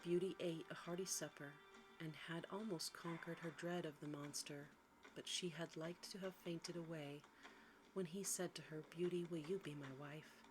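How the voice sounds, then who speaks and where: A woman reading a sleep story in a soft, quiet ASMR style, gently and without hurry, at times slipping into a breathy whisper. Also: deep voice, fairly even intonation, moderate pace, over music, poor audio. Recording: faint background noise.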